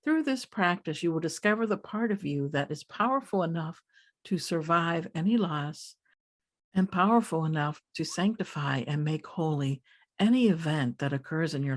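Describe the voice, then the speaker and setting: A woman leading a guided meditation as a teacher, speaking calmly in deliberate phrases with pauses. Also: low voice.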